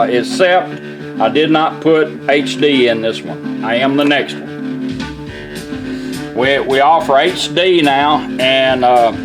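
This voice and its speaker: A man giving a casual, plain-spoken hands-on product demonstration in an informal way. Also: mid-range voice. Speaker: car radio restorer demonstrating product.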